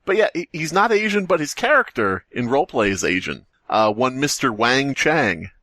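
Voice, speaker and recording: A man's voice: mid-range voice, very animated, normal speed, bright, forceful tone, no room sound, studio quality. A man co-hosting a podcast, chatting casually as a rambling, sardonic storyteller who likes to joke. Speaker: podcast co-host. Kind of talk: casual comedic podcast chat.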